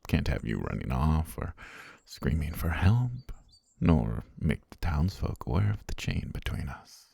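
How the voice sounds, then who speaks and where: Casting: male actor as obsessive genteel butler captor, extremely low gravelly voice, slow and softly menacing, for fantasy ASMR roleplay. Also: dry recording, exaggerated voice. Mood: quietly menacing. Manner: genteel, unhurried, softly menacing, often near whisper. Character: obsessive, sweetly menacing butler holding a princess captive.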